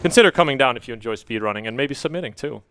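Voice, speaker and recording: A man's voice: mid-range voice, animated, rapid-fire, a bit bright, a little hiss or hum. A man from Denmark giving casual, chatty live commentary as a speedrunner, with an easygoing manner.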